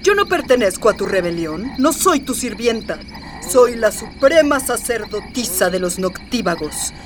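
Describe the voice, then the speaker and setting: An actress playing a haughty, imperious young gothic high priestess in a radio drama, disdainful in manner. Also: female voice, big pitch swings, a little slow, distant mic, over music.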